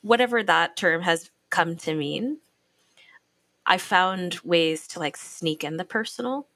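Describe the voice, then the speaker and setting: A woman's voice: lowish voice, a little slow, sharp tone, no echo. A woman who writes criticism and teaches, speaking in a reflective conversation and searching for her words.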